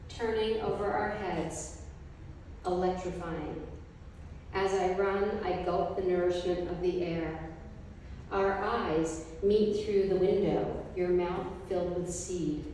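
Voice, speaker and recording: A middle-aged woman recites a poem at a gallery gathering, taking each line slowly and evenly. Her mellow voice rings through an echoey room with a long reverb tail. The mic picks her up from some distance. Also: fairly low pitch, fairly even intonation, slight background noise. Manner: slow, measured recitation from paper pages. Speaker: poet reading a group poem. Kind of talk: poetry reading at a gallery event. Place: art gallery, indoors, mid-sized room. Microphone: handheld mic, filmed from a distance.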